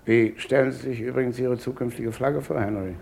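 An actor playing a dignified, cautious gentleman conspirator in an old German radio play, speaking formally. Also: mid-range voice, steady tone, brisk, close to an everyday voice. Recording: old radio recording, clearly noisy.

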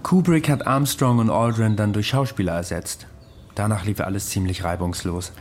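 An actor in a radio play playing an insistent, knowing conspiracy believer who explains confidently. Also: male voice, lowish voice, normal speed, faint music behind, natural voice. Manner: confident, didactic.

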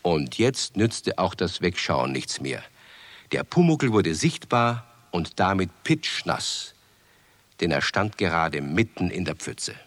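A man with a deep voice tells a children's story. His intonation moves widely and expressively through the scripted narration. The sound is close and dry, with no room around it. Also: sing-song, slow, no room sound.

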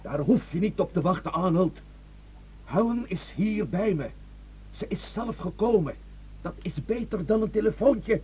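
A stern, authoritative man in a radio play, with a dark, mellow voice. His delivery swings widely in pitch as he gravely and emphatically takes charge. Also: expressive, measured pace, full character voice. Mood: coaxing, raised voice. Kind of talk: radio drama acting.

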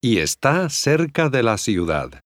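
A man reading scripted phrases for language learners, deliberate and clearly enunciated. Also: mid-range voice, big pitch swings, dry recording, studio quality. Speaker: language course model speaker.

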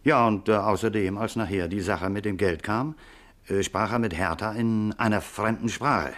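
An actor playing an eager, hesitant taxi driver witness in a 1950s radio crime drama, chatty and hemming. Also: male voice, fairly low pitch, fairly bright tone, lightly acted. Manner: halting, hemming, chatty, small nervous chuckles.

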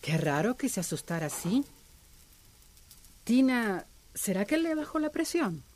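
A woman's voice: a little high, very animated, measured pace, a little mellow. A woman playing a capable, reassuring village activist and neighbour in a radio drama.

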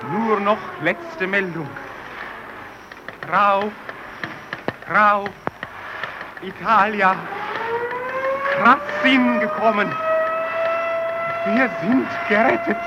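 A man playing an overwrought radio operator in a radio play, calling out a repeated message in drawn-out fashion. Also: sits high, sing-song, heavily acted. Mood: urgent, relieved. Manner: repetitive, drawn-out signalling. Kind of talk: German radio play acting. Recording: muffled, loud noise and siren-like sound.

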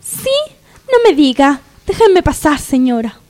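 Actriz como joven heroína de radionovela, voz agudísima. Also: female voice, sits high, sing-song, unhurried, a little mellow. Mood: defiant. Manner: emotional, insistent. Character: distraught, determined young daughter, melodramatic heroine. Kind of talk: radionovela melodrama acting.

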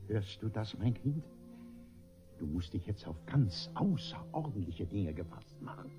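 An actor playing a vain, gullible, blustering father in a radio comedy, excitable and self-important, often repeating his words. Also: fairly low pitch, measured pace. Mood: solemnly proud. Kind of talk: German radio play comedy acting. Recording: dull radio recording with music.

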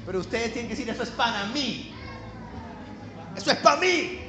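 A man with a coastal Colombian accent preaching a sermon to a congregation, emphatic and slowing down to stress key phrases. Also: extremely high pitch, lively intonation, music in the background. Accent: Colombian Caribbean coastal. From Caribbean coast of Colombia. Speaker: pastor. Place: church hall. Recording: echoey church hall.

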